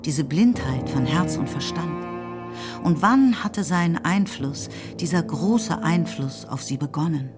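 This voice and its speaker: A woman narrating a literary radio drama in a measured, deliberate way, as a composed storyteller. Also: low voice, expressive. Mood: reflective. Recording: music under the voice. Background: music.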